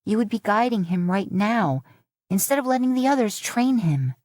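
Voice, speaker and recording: A woman voicing a headstrong young woman who speaks sharply and forcefully. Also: mid-range voice, lively intonation, moderate pace, no room sound, clean studio sound, pitched above the performer's own voice, natural voice. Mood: angry.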